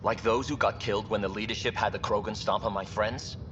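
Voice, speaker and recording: A resentful, defiant male worker on a space station , played by an actor in a sci-fi game. His voice is fairly low, slightly dulled, over clear background noise. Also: steady tone, a little fast, fairly bright tone, lo-fi sound, lightly acted. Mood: bitter, defiant. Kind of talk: video game voice acting.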